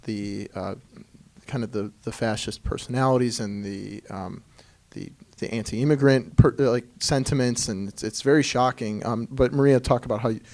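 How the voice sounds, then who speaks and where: A man's voice: mid-range voice, steady tone, moderate pace, a little mellow. A man interviewing filmmakers, asking loose, rambling questions full of fillers. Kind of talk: press interview.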